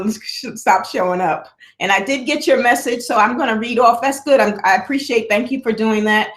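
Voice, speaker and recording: A woman hosting a casual live stream from home, chatting and rambling with a projecting voice, recorded on a laptop mic. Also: fairly low pitch. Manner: chatty, rambling, laughs often, drinks between sentences. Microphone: laptop built-in mic.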